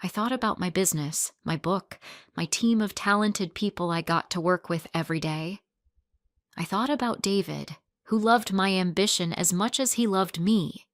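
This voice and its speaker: A woman narrating a first-person story in a calm, even storytelling manner. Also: medium pitch, moderate pace, dry recording, studio quality.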